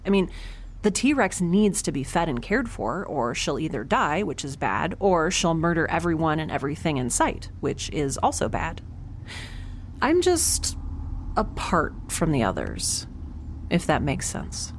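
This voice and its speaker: A woman's voice: low voice, sing-song, a little slow, a little hiss or hum, full character voice. A woman playing a quirky, nervous scientist aboard a spaceship in an audio drama, rambling with sarcastic, self-deprecating humor. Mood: dejected, self-deprecating. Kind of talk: conversational audio drama acting.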